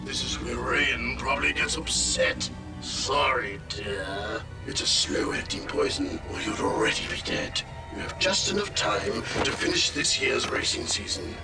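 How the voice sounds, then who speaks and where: A man's voice: mid-range voice, gravelly, far from the mic. An actor playing a dead crime boss, a sly, mocking villain gloating through his recorded will in a video game. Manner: mocking, leisurely, theatrical.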